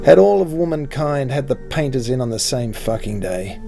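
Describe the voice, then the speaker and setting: A man voicing a weary, slick cosmetics salesman in a novel reading, with a gravelly voice and smooth patter. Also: mid-range voice, lively intonation, normal speed, music in the background.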